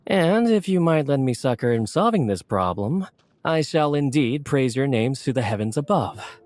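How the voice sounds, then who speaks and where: A man's voice: mid-range voice, very animated, normal speed, a little mellow, lightly acted. Man as eager, courteous, lilting book editor. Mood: deferential, flowery. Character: polite, eager, flowery-tongued young male book editor. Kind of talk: video game character acting.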